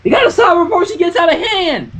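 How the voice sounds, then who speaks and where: A woman's voice: very high voice, expressive, fast talker, fairly bright tone, pitched above the performer's own voice. A woman voicing a worried, protective female country pony with a folksy, urgent manner. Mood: alarmed.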